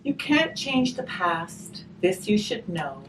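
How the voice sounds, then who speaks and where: A woman reading a picture book aloud slowly and expressively, pausing to chat and put questions to her listeners. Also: medium pitch. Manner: slow, expressive reading with chatty questions to the audience. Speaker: hospice volunteer reading a picture book to children. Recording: distant mic, faint background noise.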